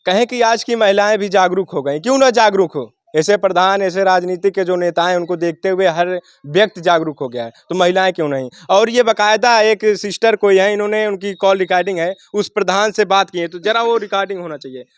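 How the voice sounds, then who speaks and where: A man's voice: sits high, a little fast, hardly any pauses, smooth, dry recording, studio quality. A man, speaking as a local news reporter in a rhetorical, declamatory way that addresses the viewers. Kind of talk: spoken news commentary and interview.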